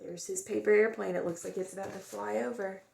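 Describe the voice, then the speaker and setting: A woman casually presenting a picture book to children, pausing to explain and wonder aloud. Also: medium pitch, steady tone, gravelly, darkish tone, a little hiss or hum. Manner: pauses to explain and wonder aloud to young listeners.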